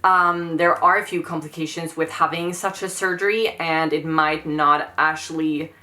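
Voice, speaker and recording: A woman's voice: sits low, fairly even intonation, normal speed, bright, forceful tone. A woman in her thirties with a Swedish accent, answering viewer questions in a casual vlog, hesitant and trailing off with many fillers.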